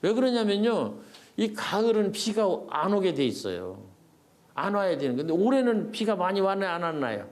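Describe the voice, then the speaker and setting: A man's voice: high voice, sing-song, moderate pace. An older Korean man, a poet, giving a folksy public lecture to older adults, asking the audience questions and answering them himself. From South Korea. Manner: folksy, repeats words for emphasis.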